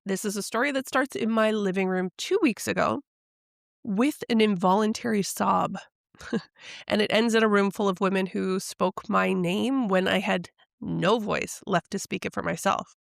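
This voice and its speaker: Middle-aged woman podcast host, slightly congested from a cold, reflective storyteller, gravelly tone. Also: medium pitch, moderate pace, dry recording, studio quality. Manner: soft, reflective and confessional. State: recovering voice from a head cold. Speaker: podcast host and intuitive coach. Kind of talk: personal storytelling monologue.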